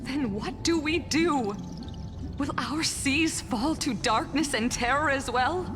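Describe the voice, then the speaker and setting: An earnest, anxious sea siren from a fantasy game. Her voice is fairly high and unhurried, with a solemn, storylike delivery over music. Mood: worried. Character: anxious, reverent female sea siren of a doomed kingdom. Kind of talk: dramatic fantasy game acting.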